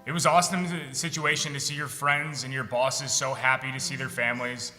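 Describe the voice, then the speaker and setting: A man's voice: medium pitch, level tone, fairly quick, sharp tone. A young American man, a veteran, reading a frank, sardonic personal monologue on a theatre stage. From United States, Michigan. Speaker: military veteran performer. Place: theatre stage in an arts center. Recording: reverberant hall with music behind.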